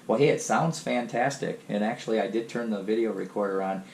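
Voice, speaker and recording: A man with a cold chatting casually over ham radio, relaxed and full of fillers. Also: fairly low pitch, brisk, creaky. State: has a cold. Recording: echoey room, faint noise.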